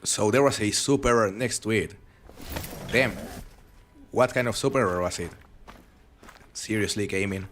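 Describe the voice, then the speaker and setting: A man's voice: lowish voice, moderate pace, long pauses, a little hiss or hum. A young man with a foreign accent, live-streaming a hunting game and chatting casually with his viewers. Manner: relaxed, chatty, rambling with frequent restarts. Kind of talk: live game stream commentary reading chat.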